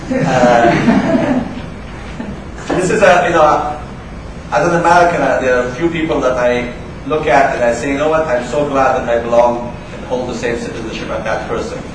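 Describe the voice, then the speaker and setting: A man whose first language is Persian introduces a guest speaker off the cuff, with halting speech full of fillers and restarts. He is picked up from a distance in a big lecture hall, and his voice echoes heavily with a steady noise underneath. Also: mid-range voice. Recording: echoey hall, mic far off.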